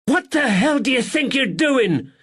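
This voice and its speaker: A woman's voice: sits high, expressive, measured pace, husky, pitched above the performer's own voice, exaggerated voice. A shrieking, furious landlady. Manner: yelling, scolding. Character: furious shrill middle-aged landlady.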